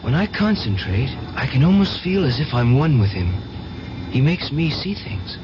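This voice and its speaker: A man's voice: medium pitch, very animated, normal speed, noisy background, close to an everyday voice. Man playing an earnest, intense young drifter, muffled broadcast. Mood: fervent. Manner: earnest, fervent about visions and powers. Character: intense, naive, devout young orphan hitchhiker. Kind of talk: radio drama acting. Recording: muffled old radio broadcast with music.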